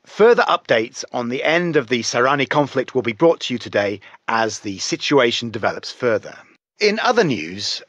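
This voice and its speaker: A man's voice: mid-range voice, fairly bright tone, dry recording. A man playing a polished, self-important television news anchor reading a scripted bulletin in a game. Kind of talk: scripted TV newscast. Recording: dry, slightly dull studio sound.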